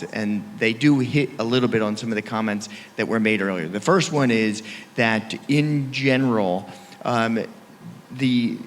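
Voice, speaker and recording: A man's voice: medium pitch, a little hiss or hum. A man on a conference panel, speaking emphatically and punching key phrases. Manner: emphatic, punchy, speeds up and slows down for stress. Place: conference hall. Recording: reverberant hall.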